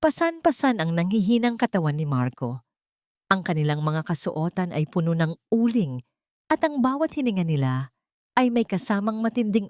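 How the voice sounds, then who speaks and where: Expressive low-voiced woman narrator, dry sound. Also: dry recording. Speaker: narrator of an audio love story. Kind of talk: dramatic scripted storytelling narration.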